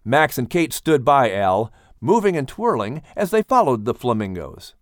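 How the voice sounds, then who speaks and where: Animated male children's storybook narrator. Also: mid-range voice, normal speed, no echo, clean studio sound. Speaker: audiobook narrator.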